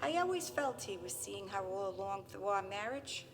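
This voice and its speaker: A woman's voice: medium pitch, lively intonation, normal speed, natural voice. A woman playing a gushing, wounded housewife and mother in an afterlife radio play. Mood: sympathetic, hurt. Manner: gushing, exclamatory. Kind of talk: theatrical radio play acting. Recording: long hall reverb with music behind.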